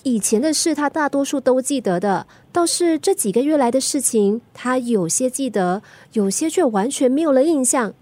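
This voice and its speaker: A woman's voice: high-pitched, animated, moderate pace, few pauses, darkish tone, no room sound. An actress playing a worried, nagging teenage schoolgirl in a radio drama, speaking with a Singaporean accent. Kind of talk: Mandarin radio drama acting.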